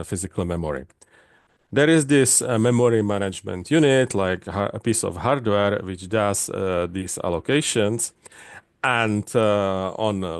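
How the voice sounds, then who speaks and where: Low-voiced Czech man presenting. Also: clean tone, no echo. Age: middle-aged. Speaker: conference speaker, database specialist. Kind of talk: technical presentation. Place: indoors, online video call.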